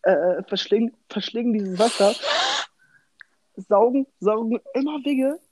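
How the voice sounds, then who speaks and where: A man's voice: high-pitched, measured pace, warm tone. A young man co-hosting a casual podcast, joking in slang and often restarting his words. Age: young adult. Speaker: podcast co-host. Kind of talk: casual banter.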